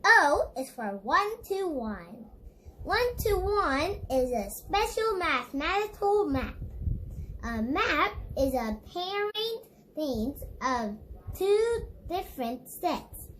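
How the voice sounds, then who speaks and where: A woman's voice: extremely high pitch, sing-song, takes it slowly, fairly bright tone, a little hiss or hum. A female reader reading an educational children's book aloud, stumbling over words now and then. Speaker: read-along book reader.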